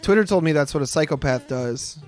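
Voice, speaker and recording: A man's voice: fairly high pitch, lively intonation, brisk, a little mellow, soft background music. A man in his late twenties rambles while streaming a game as host, swearing freely and poking fun at himself. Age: late 20s. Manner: rambling, profane and self-mocking. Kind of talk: casual gameplay commentary.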